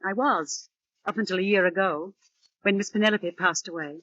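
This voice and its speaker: Woman acting a gossiping female housekeeper, resigned, hesitant, insinuating, medium pitch, muffled 1940s radio drama. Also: normal speed, a bit bright, natural voice. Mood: coy, resigned. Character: resigned gossiping school housekeeper, feigning reluctance. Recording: dull restored 1940s radio recording.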